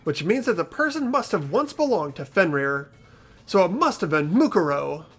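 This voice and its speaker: A man playing an earnest high school student who explains deductions deliberately in a fan-dubbed video game. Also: very animated, a bit bright, full character voice. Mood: earnest, assured. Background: game music.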